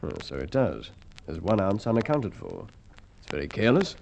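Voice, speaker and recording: A man's voice: lowish voice, huge pitch swings, moderate pace, creaky, a little mellow, lo-fi sound, full character voice. A man acting an educated, pedantic police doctor in an old radio crime drama, speaking with formal precision. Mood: affronted. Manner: precise, formal, slightly pompous. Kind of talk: Golden Age Australian radio drama acting. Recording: faintly noisy old radio recording with clicks.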